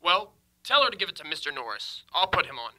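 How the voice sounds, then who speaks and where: An actor playing an easygoing, wisecracking husband with a wry, hesitant manner in an old-time radio comedy. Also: male voice, fairly high pitch, sing-song, quick pace, bright, forceful tone, dry recording, full character voice. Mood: anxious, stubborn. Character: easygoing, wisecracking husband narrating his marital troubles.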